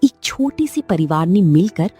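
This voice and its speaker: A woman narrating a cartoon story in a steady storytelling manner. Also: fairly low pitch, normal speed, husky, faint music behind.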